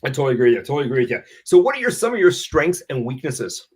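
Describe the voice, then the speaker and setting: A man's voice: mid-range voice, very animated, very fast. A man hosting a casual live-stream interview, agreeing often and asking open questions. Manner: affirming, frequent agreeing interjections. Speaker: interview host.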